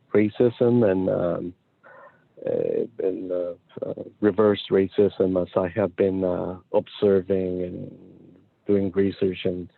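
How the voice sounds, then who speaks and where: A man from Mexico speaks in a low, gravelly voice, pausing often with "uh." His audio comes through a dry, tinny, phone-like video call line. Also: no room sound. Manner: hesitant, many "uh" pauses. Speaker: panelist, consultant. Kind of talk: self-introduction on a panel.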